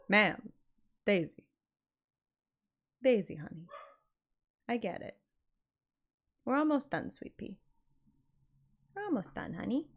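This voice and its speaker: A woman's voice: mid-range voice, big pitch swings, slow pace, long pauses, fairly bright tone. A woman livestreamer with a playful, chatty manner, talking casually and reading a game story aloud. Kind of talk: casual stream chat and expressive game read-aloud.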